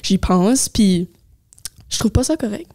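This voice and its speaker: A young woman with a Chiac Acadian accent talks casually on a podcast. She speaks at an average pitch, full of fillers, and drops English words into her French. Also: lively intonation, unhurried, warm tone. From Moncton, New Brunswick. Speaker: podcast co-host. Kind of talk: casual chat.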